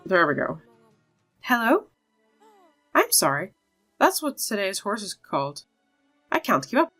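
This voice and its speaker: A woman's voice: mid-range voice, sing-song, measured pace, fairly bright tone. Woman chatting through a game, music behind. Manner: chatty, talks to herself and her virtual animals. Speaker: gaming video host. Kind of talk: casual game commentary, reading dialogue aloud.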